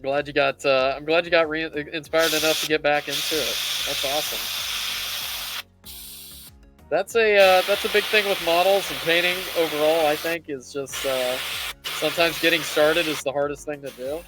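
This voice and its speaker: A man's voice: a little high, steady tone, fairly quick, over loud music, poor audio. A man hosting a casual live painting tutorial, thinking aloud and rambling as he works. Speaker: livestream host teaching miniature painting.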